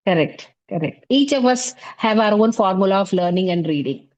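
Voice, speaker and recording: A middle-aged woman from South India with an Indian English accent, talking as an interview guest about her teaching work in a relaxed way, leaning on fillers like "you know, like". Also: medium pitch, moderate pace, rough recording. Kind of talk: conversational interview.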